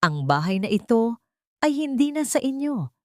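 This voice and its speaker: A woman's voice: fairly high pitch, very animated, normal speed, no room sound, clean studio sound, pitched above the performer's own voice. Woman voicing a stern, commanding wealthy man in a drama. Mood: cold, accusing. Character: wealthy vengeful grown son, cold and commanding.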